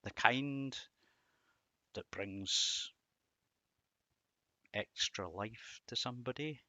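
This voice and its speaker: Slow-talking, bright-voiced man giving a devotional. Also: mid-range voice, no echo. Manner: reflective, rhetorical questions with emphatic repetition. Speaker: Bible teacher and host. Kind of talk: devotional talk reflecting on scripture verses.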